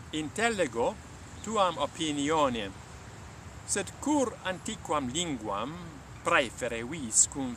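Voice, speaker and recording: A man speaking as a Latin teacher, explaining and reading aloud in a measured, halting live delivery. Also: a little high, fairly bright tone, far from the mic, slight background noise. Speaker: Latin teacher and reader of his own lesson texts.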